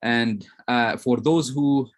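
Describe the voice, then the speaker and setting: Male academic lecturer from Lebanon, medium pitch, semi-formal online presentation. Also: slow pace. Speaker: lecturer, periodontology professor.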